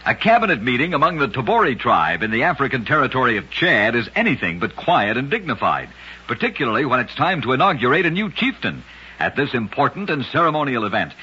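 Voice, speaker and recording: A man narrating strange true facts in a scripted old-time radio style, with a brisk, dramatic storytelling cadence. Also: medium pitch, sharp tone, breathy edge, highs cut off.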